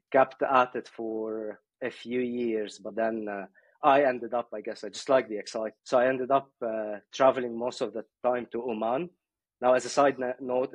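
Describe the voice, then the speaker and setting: A man in his forties from Lebanon, answering interview questions in a relaxed, reflective way with many pauses. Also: mid-range voice, dry recording. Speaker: guest, customer success executive.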